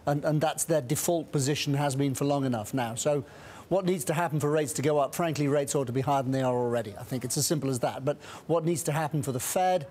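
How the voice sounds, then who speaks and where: Middle-aged male guest, brisk and restart-prone, a touch high, lapel mic in a studio with faint hum. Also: a little mellow. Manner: brisk, many restarts and hedging fillers. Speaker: guest strategist. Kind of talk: studio interview.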